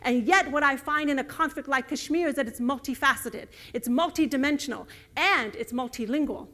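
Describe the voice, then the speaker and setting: A woman's voice: sits high, brisk, sharp tone. A woman whose first language is Urdu, giving an earnest lecture with slides and building emphasis on key words. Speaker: guest lecturer.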